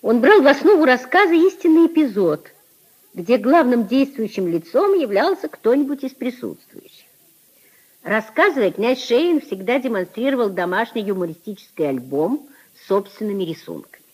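Husky, expressive woman narrator. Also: a little high, moderate pace, rough recording. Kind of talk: literary narration in a 1950s radio play.

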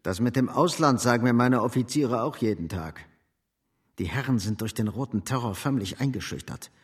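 An actor playing a hard, boastful political commander in a German radio drama, clipped, assured and emphatic. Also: male voice, medium pitch, animated, moderate pace, husky. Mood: boastful, confident.

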